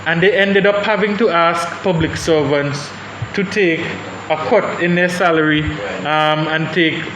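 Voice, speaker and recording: A man's voice: on the high side, steady tone, unhurried. A young man speaking on a panel, thoughtful and hesitant with many restarts. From Saint Vincent and the Grenadines. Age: young adult. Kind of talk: panel discussion. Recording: echoey room with background noise.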